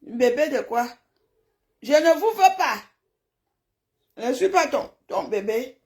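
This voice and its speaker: Woman, rather high voice, very unhurried pace, blunt live-stream chat, emphatic repetition. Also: lively intonation, darkish tone. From francophone Africa, grew up in a village. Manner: blunt, scolding, emphatic, repeats herself. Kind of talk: casual live stream chat, replying to viewers' comments.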